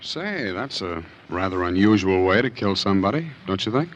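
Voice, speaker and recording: An actor playing a wry, sinister sergeant who hosts a 1940s radio mystery show in a teasing, theatrical manner. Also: male voice, deep voice, very animated, moderate pace, background noise. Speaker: host. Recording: muffled old radio with music behind. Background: music.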